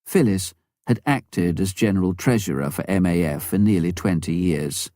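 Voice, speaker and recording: A man reading a memoir aloud as scripted narration, in a measured, clearly phrased way. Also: low-pitched, animated, dark tone, no echo, studio quality. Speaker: audiobook narrator.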